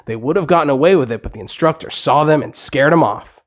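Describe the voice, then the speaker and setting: A man's voice: a little high, fast. A man narrating a scripted bedtime story for kids.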